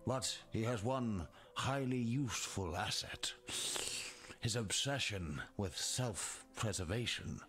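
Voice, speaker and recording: A man playing a grim, wary rogue survivor in a video game, speaking in a measured, sardonic way. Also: lowish voice. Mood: cynical, warning. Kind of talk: video game character dialogue.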